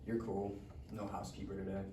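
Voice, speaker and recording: A man's voice: low voice, monotone, a little fast, close to an everyday voice. A man playing a cocky, bitter, foul-mouthed rich schoolboy with a sarcastic manner in a live table read. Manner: sarcastic, wisecracking. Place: live reading event in a room. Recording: echoey room, distant mic, faint noise.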